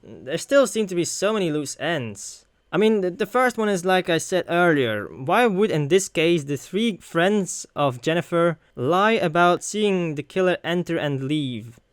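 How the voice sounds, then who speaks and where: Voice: mid-range voice, sing-song, a little slow, clear, resonant tone, no echo. A video game streamer with a casual, sweary manner, thinking aloud while reading through and commenting on a visual novel.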